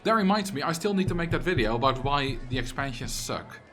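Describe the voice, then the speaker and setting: A young man with a Dutch accent, a native Dutch speaker, casually commenting on a game during a live stream, reading viewer chat aloud, joking back, and now and then breaking into loud, exasperated rants. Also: medium pitch, animated, fairly quick. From Netherlands. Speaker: livestreamer.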